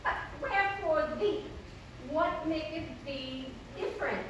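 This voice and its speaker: A woman's voice: high-pitched, a little slow. Woman putting on a grandly melodramatic lady thief, echoey. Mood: dramatic pleading. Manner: loud, grand mock-Shakespearean declaiming, mock strong and scary. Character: melodramatic female thief pleading with her lover. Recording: roomy echo, distant mic, faint noise.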